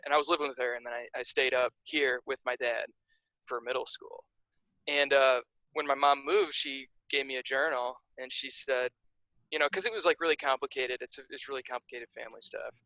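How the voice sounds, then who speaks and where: A man's voice: medium pitch, no room sound, rough recording. Rambling young man with a Chicago accent. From Chicago area, USA. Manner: relaxed, rambling, many fillers and restarts. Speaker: podcast guest, writer. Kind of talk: casual interview chat.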